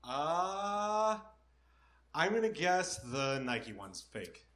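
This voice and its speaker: A man's voice: fairly high pitch, big pitch swings, drawn-out words, fairly bright tone. A man playing a live comedy quiz as contestant, laughing and self-deprecating. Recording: echoey live room, faint noise.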